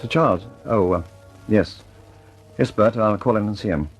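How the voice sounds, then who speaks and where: An actor with an English accent playing a weary, mild, dutiful scientist in a radio drama, hesitant and politely understated. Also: male voice, on the low side, normal speed, faint music behind, natural voice. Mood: mild, distracted. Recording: noisy old radio recording with clicks.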